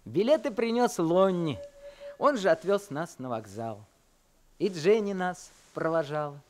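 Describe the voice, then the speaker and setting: Earnest teen boy, lively pitch, radio play narrator. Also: unhurried, slight background noise. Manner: earnest, emotional. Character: teenage boy, emotional and grateful. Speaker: narrator and main character.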